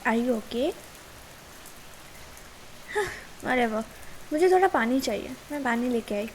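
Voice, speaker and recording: A young Indian girlfriend, played by a woman in an ASMR roleplay, with a high-pitched voice and slow delivery. She is caring yet suspicious and quick to nag, in a casual way. Faint hiss and rain sit behind her. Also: expressive, a little mellow. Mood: gentle, caring. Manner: casual, nagging, switches between two languages. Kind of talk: ASMR girlfriend roleplay, Hindi mixed with English.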